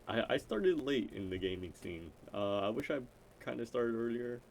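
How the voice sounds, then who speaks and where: A young man with a deep voice chatting casually and unscripted, rambling and often hesitantly cutting himself off. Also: lively intonation, normal speed, a little hiss or hum. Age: young adult. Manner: rambling, hesitant, self-interrupting. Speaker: gaming vlogger introducing himself.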